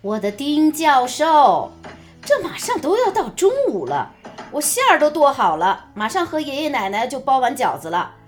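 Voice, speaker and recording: A woman's voice: sits high, big pitch swings, normal speed. A woman playing a fussing, coaxing middle-aged mother in a radio drama, with an exclamatory way of talking. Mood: nagging. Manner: nagging, exclamatory. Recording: music under the voice.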